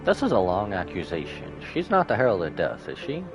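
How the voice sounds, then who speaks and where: A man's voice: lowish voice, huge pitch swings, fairly quick, music in the background, low-quality recording. A man giving casual live commentary on a game, musing aloud and wry as he streams.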